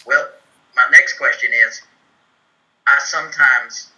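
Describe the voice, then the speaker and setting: A man playing a simple, earnest Southern fellow with a put-on accent, speaking in a folksy, deliberate deadpan for a comedy tutorial. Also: medium pitch. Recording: muffled, telephone-like band-limited sound.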